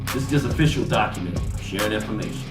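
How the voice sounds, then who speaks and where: A man in his forties hosts a live show, hammering his points home and slowing down to repeat them. He sounds distant from the mic in a roomy, echoing space. Clear music plays behind him. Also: lowish voice, expressive. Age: 40s. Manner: emphatic, repeats key points slowly. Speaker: host. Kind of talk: live talk-show monologue.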